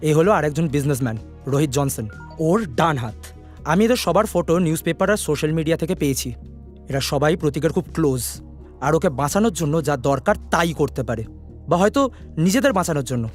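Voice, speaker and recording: Man acting a fast-talking, serious cartoon detective. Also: a little high, lively intonation, faint music behind, close to an everyday voice. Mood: deducing. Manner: decisive, commanding, urgent. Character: brisk, serious male detective giving orders to his team. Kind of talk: animated cartoon dialogue.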